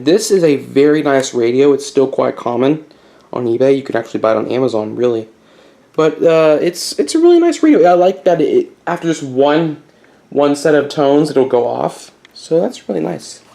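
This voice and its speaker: A man's voice: fairly high pitch, expressive, normal speed, creaky, mellow. A man giving a casual, unscripted show-and-tell as a hobbyist video host, rambling a bit and correcting himself with mild enthusiasm.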